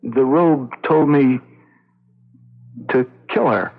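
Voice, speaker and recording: An actor playing a tormented, weary man who claims to be possessed, speaking haltingly in a 1970s radio drama. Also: medium pitch. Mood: anguished, exhausted. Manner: halting, weary, pausing mid-sentence. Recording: muffled noisy vintage radio broadcast.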